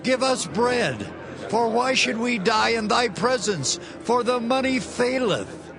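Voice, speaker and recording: A man voicing starving commoners who plead slowly for bread in a dramatized scripture reading. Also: expressive, over music, full character voice. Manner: high, slow, pleading voice.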